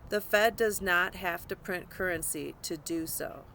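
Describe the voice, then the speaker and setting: A woman's voice: lowish voice, unhurried, vocal fry, a bit bright, a little hiss or hum. A woman narrating a scripted nonfiction audiobook, reading aloud.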